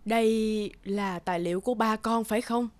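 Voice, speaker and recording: A woman's voice: mid-range voice, steady tone, normal speed, close to an everyday voice. A woman voicing a caring, firm middle-aged aunt in a cartoon. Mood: gentle concern. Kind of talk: cartoon voice acting. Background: prominent music.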